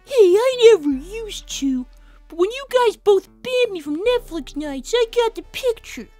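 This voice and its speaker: Woman voicing a very high-pitched boy fox inventor. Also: very animated, measured pace, mellow, soft background music, heavily acted. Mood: exasperated. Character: twelve-year-old boy fox inventor, bright and exasperated. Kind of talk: fan-made animated series voice acting.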